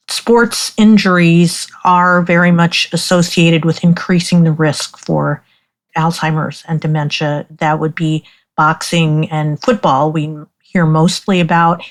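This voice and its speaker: A woman physician speaking as a guest in an interview, explaining in a measured way. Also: lowish voice. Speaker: doctor, guest. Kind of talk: interview conversation.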